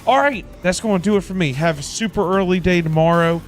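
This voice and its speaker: A man's voice: high voice, brisk. A man chatting casually as a livestream host, exclamatory and effusive with his viewers. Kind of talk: casual livestream chat and game commentary. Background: background music.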